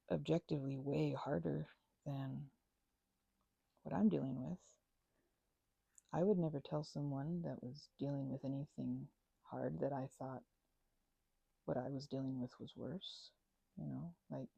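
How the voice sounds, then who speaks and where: A woman as a low, breathy, scatterbrained best friend. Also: dark tone, dry recording, exaggerated voice. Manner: rambling, halting, self-interrupting, giggly. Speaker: ASMR roleplay performer. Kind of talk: ASMR roleplay, casual intimate chat.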